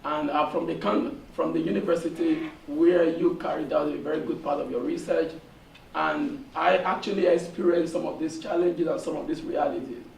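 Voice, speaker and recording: A hoarse man from Nigeria asks a long, earnest question from the audience, halting with many fillers. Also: a little high, steady tone, darkish tone. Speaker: audience member asking a question. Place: seminar room. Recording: echoey room, distant, faint noise.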